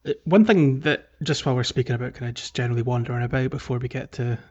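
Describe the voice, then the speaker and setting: A man's voice: medium pitch, fairly even intonation, fast talker, darkish tone, highs cut off. A man hosting a podcast, talking through a game in a casual, rambling, conversational way. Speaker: podcast host.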